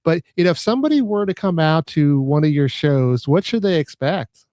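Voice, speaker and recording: A man hosting a podcast interview in casual chat, often stammering, repeating words and filling pauses. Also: fairly high pitch, animated, warm tone, no room sound. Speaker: podcast host.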